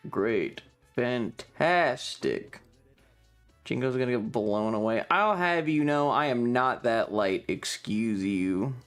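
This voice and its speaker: A man's voice: fairly low pitch, creaky. A man hosting a casual livestream, chatting with viewers in a playful, rambling, slightly distracted way. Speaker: live streamer and host.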